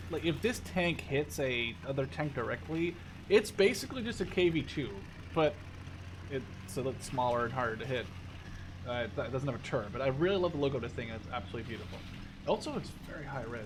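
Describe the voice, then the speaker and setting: A man in his twenties from the Netherlands giving a casual, rambling, enthusiastic game overview with informal language. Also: on the high side. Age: 20s. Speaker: gaming video creator. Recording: clear background noise.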